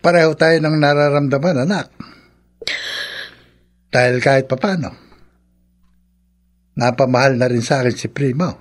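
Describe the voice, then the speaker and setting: An actor playing a gentle, solemn father in a radio drama, with a husky voice. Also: mid-range voice, normal speed, pauses often, close to an everyday voice. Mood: consoling, solemn. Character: gentle consoling middle-aged father, solemn and devout. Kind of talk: melodramatic radio drama acting.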